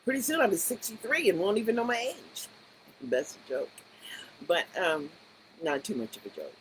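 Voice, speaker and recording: A woman's voice: mid-range voice, sing-song, moderate pace, slight background noise, rough recording. A woman in her sixties giving rambling, conversational commentary with emphatic rhetorical questions. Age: 60s. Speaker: education advocate. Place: Las Vegas area.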